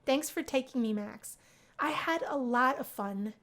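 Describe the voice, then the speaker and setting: A woman's voice: high-pitched, a little slow, a bit bright. A woman voicing a shy, bookish young female college student in a visual novel read-through. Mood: grateful.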